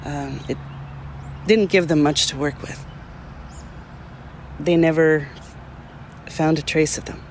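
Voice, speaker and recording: Over faint outdoor noise, a matter-of-fact local man , played by an actor, talks as if interviewed for an audio drama. His voice is fairly high, casual and hedging. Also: steady tone, slow pace, pauses often.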